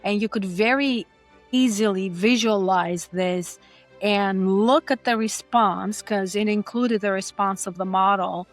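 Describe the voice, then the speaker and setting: A woman from Greece speaks as a podcast guest, earnest and explanatory, in a mid-pitched voice. She talks conversationally and sometimes restarts a phrase. Soft music plays faintly behind her. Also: fairly even intonation, unhurried. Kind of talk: conversational interview.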